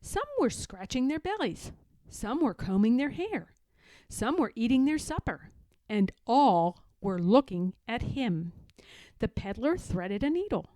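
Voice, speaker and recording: A woman narrating a children's storybook aloud in an expressive, deliberate way. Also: on the high side, dry recording.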